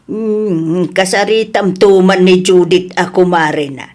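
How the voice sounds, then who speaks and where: Expressive Ilocano mother voiced by a woman. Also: fairly low pitch, slow pace. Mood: concerned. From Philippines. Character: older Ilocano mother, expressive and easily scandalised. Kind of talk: Ilocano audio drama acting.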